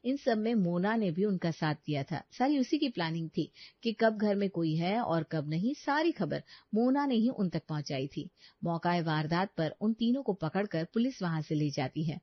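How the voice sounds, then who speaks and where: A woman's voice: fairly low pitch, animated, moderate pace, no room sound. Woman narrating a story, slightly muffled. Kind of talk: scripted cartoon story narration.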